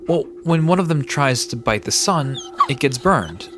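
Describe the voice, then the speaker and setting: A laid-back, bookish storyteller played by a man in an audio drama. His medium-pitched voice explains old legends in a casual, dry way, like chatting with a friend. Faint music plays behind him. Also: expressive, normal speed, a bit bright, lightly acted. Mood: storytelling. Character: Sam, laid-back bookish male myth-telling park resident.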